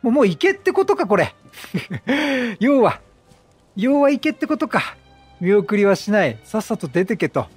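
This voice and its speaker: A man streaming a video game with casual, chatty commentary. His voice is high and slightly rough, swinging widely in pitch as he makes joking reactions. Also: big pitch swings, moderate pace. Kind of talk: casual let's-play commentary. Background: game music.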